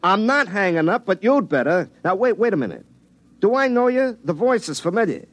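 Excitable young American linesman, swooping pitch. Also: fairly quick, dry recording, full character voice. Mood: indignant. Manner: stammering, rambling, self-correcting. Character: excitable, folksy young telephone linesman and tinkerer. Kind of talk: classic radio drama acting and first-person narration.

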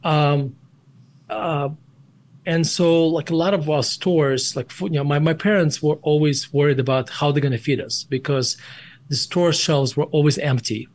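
A man's voice: on the high side, normal speed, a little mellow. A man in his forties with a Russian accent, a guest in an interview, often restarting and repeating words as he explains. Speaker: guest, investment firm CEO. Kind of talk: conversational interview answers.

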